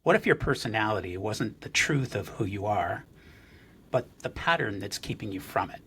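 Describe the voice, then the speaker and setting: A man's voice: fairly low pitch, lively intonation, normal speed, a bit bright, slightly rough. A man hosting a spiritual interview podcast, talking earnestly with frequent fillers and restarts. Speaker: podcast host.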